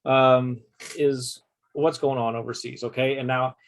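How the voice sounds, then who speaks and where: A man in his forties hosting a casual podcast chat, talking with halting restarts and frequent check-ins. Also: mid-range voice, little pitch movement. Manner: conversational, repeats "okay?" to check in, halting restarts. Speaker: podcast host. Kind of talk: casual conversation.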